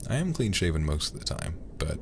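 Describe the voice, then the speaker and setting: A soft-spoken man livestreaming a game in a close-miked ASMR style, often dropping to a whisper. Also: low-pitched, very animated, a little fast. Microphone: close ASMR microphone. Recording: clear background noise under close voice.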